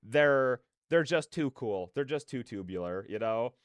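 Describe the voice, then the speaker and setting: A man's voice: mid-range voice, expressive, unhurried, clean tone, dry recording. A man hosting a livestream, chatting casually and animatedly in slangy, rambling opinions. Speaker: livestreamer, reaction host. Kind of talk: casual livestream chat with viewers.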